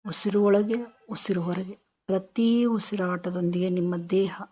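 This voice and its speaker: Female meditation guide, very unhurried, soothing, mid-pitched, short phrases with pauses. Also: steady tone, dark tone, breathy edge.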